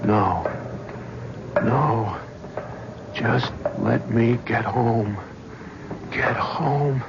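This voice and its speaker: A man's voice: mid-range voice, gravelly, heavy background noise. An actor playing a frightened, defensive talent scout in an old radio drama, his speech jittery and pleading. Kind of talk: 1970s radio drama acting. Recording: dull old radio broadcast with music.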